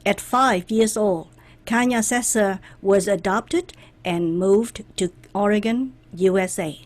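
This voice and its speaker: A woman hosting an interview, reading a guest introduction aloud and then asking questions in a deliberate way. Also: mid-range voice. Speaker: interview host.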